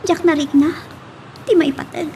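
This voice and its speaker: A woman's voice: high voice, fairly even intonation, moderate pace, dark tone, natural voice. A woman with an Ilocano Filipino accent acting a scheming, lovelorn young woman in an emotional romance drama. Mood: wistful. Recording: distant mic with faint noise.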